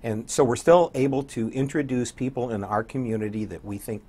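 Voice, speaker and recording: An older man with an American accent and a hoarse voice, chatting as a radio DJ guest in an interview. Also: mid-range voice, a little fast, darkish tone. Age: 60s or older. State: hoarse, getting over an illness. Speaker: community radio program director and morning DJ, guest. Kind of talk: conversational interview. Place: small indoor TV studio.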